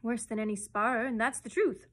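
Storybook nanny, acted by a woman: brisk, stern, high-voiced, forever indignant and quick to scold. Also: fairly even intonation.

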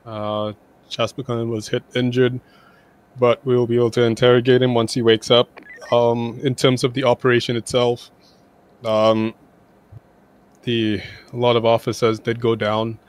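A man leading a team in casual game voice chat, pausing hesitantly between phrases. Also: medium pitch, monotone, clear, resonant tone. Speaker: squad leader in an online tactical game. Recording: faintly noisy online game voice chat.

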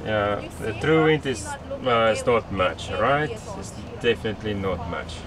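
A man's voice: on the low side, a little slow, a crowd in the background. A man hosting a sailing vlog, casually commenting live on a regatta from the deck of a boat. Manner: excited, commenting on a race as it happens. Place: outdoors on a sailing catamaran at sea. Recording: windy outdoor noise. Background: wind and water noise.

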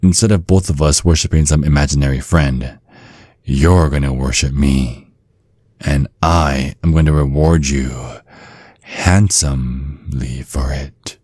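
Man voicing a possessive vampire, seductive ASMR roleplay, slow menacing tease, breathy husky whispers, close and dry mic. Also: darkish tone, no echo. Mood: teasing, smug. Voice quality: very deep, breathy and husky, often dropping to whispers. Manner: slow, teasing, menacing drawl. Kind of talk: intimate ASMR roleplay acting. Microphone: close, dry microphone.

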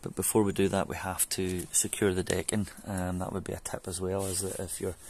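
A man's voice: sits low, monotone, brisk, a little mellow. A man, chatting loosely with many ums through a how-to vlog in his back garden, picked up by a camera mic. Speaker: home DIY vlogger. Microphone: camera mic on a fixed camera.

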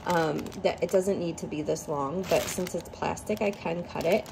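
A woman's voice: on the low side, moderate pace, vocal fry, darkish tone, slight background noise, low-quality recording. A woman giving a casual, upbeat product review on a phone, with lots of ums while she talks at home. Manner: chatty, upbeat, frequent ums. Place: living room, indoors. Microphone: phone held over the device.

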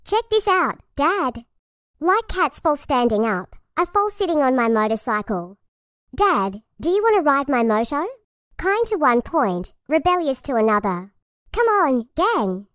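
Woman voicing a high-pitched rebellious young cartoon cat girl. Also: very animated, unhurried, a bit bright, dry recording, clean studio sound, full character voice. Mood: playful, rebellious. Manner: brash, dramatic, exclamatory. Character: rebellious young female cat, cocky biker gang leader. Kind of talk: cartoon character voice lines.

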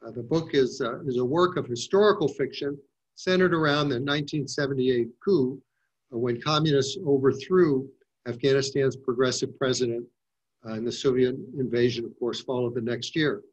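A man's voice: medium pitch, steady tone. Older man moderating over a dull call. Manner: measured, with frequent "uh" hesitations. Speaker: panel moderator. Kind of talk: video call panel moderation. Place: home room. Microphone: video call. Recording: dull lo-fi video call audio.

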